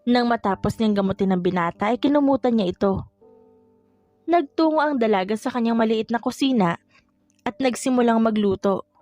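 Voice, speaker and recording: A woman's voice: on the high side, a little mellow, no room sound, lo-fi sound. A woman reading scripted romance fiction as an audiobook narrator. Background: music.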